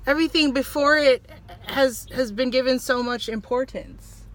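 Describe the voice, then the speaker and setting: A woman with a fairly high-pitched voice talks casually as a vlog co-host. Her delivery is halting and full of fillers, and she often drops a thought midway and starts it again. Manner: halting, filler-heavy, trailing off and restarting. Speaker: vlog co-host reviewing a film. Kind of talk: casual chat.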